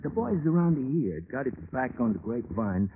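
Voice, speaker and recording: A man's voice: mid-range voice, very animated, moderate pace. An American actor playing a shady, tough male undertaker informant, talking slangily out of the corner of his mouth in an old radio crime drama. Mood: guarded, conspiratorial. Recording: old radio recording, tinny, faint noise.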